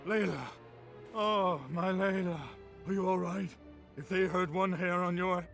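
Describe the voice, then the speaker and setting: A man's voice: high voice, fairly even intonation, unhurried, creaky, a bit bright, faint music behind, full character voice. A man playing a devoted, tender father in a video game, speaking in a heartfelt manner. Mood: anxious. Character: devoted, grateful father of a daughter.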